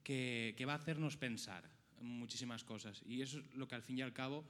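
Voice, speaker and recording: A man's voice: mid-range voice, a little fast, a bit bright. A man from a theatre cast talking about the project at a press presentation, with many restarts and fillers. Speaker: cast member of a zarzuela production. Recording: long hall reverb.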